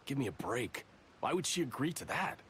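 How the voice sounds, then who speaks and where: A man's voice: medium pitch, very animated, a little fast, a bit bright, a little hiss or hum. A man voicing an indignant, distrustful young soldier in a video game, with an emphatic, grumbling delivery. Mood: indignant, scornful.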